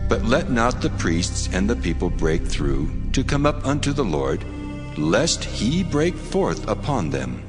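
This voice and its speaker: The Lord God, deep and cavernous. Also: male voice, sing-song, a little slow. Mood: stern warning. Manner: solemn, declamatory, commanding. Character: the Lord God, commanding, solemn, stern divine lawgiver. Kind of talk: dramatized scripture reading. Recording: long cavernous reverb, music underneath. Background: music.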